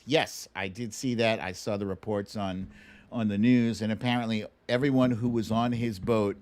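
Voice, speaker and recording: A man hosting a casual livestream, chatting through news and viewer comments in a wry, teasing, colloquial way. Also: fairly low pitch. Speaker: livestream show host.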